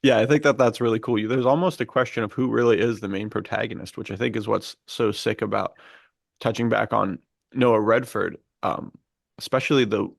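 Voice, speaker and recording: A man's voice: fairly low pitch, brisk, vocal fry, dry recording, studio quality. A man co-hosting a podcast, talking casually with slangy, laid-back enthusiasm. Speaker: podcast co-host.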